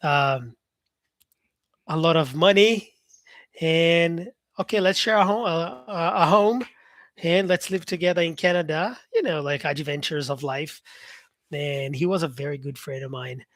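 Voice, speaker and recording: Man, English teacher on a live stream, casual chatty tone, higher voice, dry close sound. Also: unhurried, a bit bright, dry recording. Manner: chatty, reads out viewer comments, many fillers.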